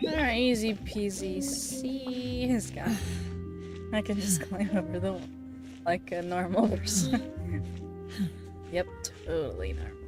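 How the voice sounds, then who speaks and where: A woman's voice: medium pitch, animated, unhurried, pauses often. A young woman chatting casually and giggling as she streams a video game. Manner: giggly, easily surprised. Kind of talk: casual livestream chat while gaming. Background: game music.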